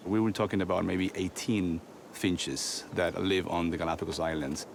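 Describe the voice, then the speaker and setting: Guy, biologist, deep voice, lots of um and uh, some faint noise around. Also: fairly even intonation, normal speed, creaky. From Ecuador. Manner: thoughtful, with frequent ums and uhs. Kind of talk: interview explanation.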